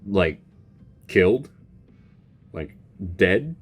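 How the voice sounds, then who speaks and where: Expressive man reacting to a game. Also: sits low, very slow, slightly rough. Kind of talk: casual game reaction commentary. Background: faint game music.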